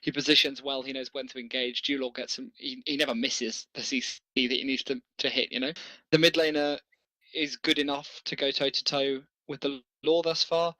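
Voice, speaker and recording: A man's voice: medium pitch, flat delivery, normal speed, pushed and bright, no echo, low-quality recording. A man casting a video game match, chatting through strategy with enthusiasm and the odd chuckle. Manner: enthusiastic, analytical, chuckles often. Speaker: esports caster. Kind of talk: casual game commentary.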